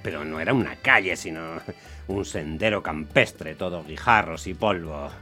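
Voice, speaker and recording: An actor playing a hot-tempered, grumbling taxi driver who tells his story in a radio play, animated and exasperated. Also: sits low, moderate pace, faint music behind. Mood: grumbling narration. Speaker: narrator and lead character. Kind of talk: dramatised first-person radio storytelling.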